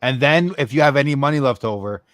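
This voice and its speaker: A man with a mid-pitched voice cracks dry, low-key jokes in a casual stream chat. His voice is close and dry, with no room sound. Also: animated, quick pace, a bit bright, dry recording. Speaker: live stream co-host.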